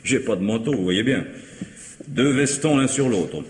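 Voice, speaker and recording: An actor playing a cynical, mocking vagabond in a vintage radio drama, with a wry, laughing way of talking. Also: male voice, mid-range voice, lively intonation, normal speed, darkish tone, breathy edge. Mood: sardonic. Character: sardonic cynical male vagabond tramp.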